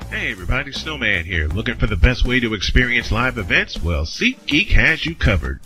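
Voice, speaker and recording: A man's voice: medium pitch, very animated, fairly quick. A man, the host, delivering a scripted sponsor read. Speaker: host reading a sponsor spot. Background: music bed.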